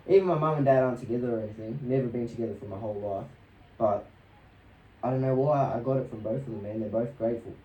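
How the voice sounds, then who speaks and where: Mellow young man telling stories, roomy echo. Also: medium pitch, fast. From New Zealand and Australia. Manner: laid-back, slangy, swearing. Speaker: podcast guest. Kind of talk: casual rambling storytelling. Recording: roomy echo, distant mic, faint noise.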